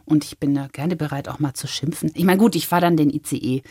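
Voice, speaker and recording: A woman's voice: low voice, fast, a little mellow, breathy edge, no room sound. A middle-aged woman, a television presenter, chatting casually, laughing often and restarting her sentences. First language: German. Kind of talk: casual interview conversation.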